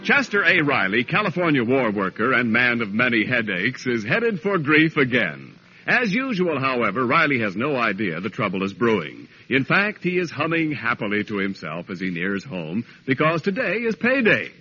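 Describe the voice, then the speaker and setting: Male radio announcer, 1940s style, animated, emphatic scripted narration, husky edge, polished. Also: mid-range voice, normal speed, faint music behind. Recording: dull old radio broadcast recording.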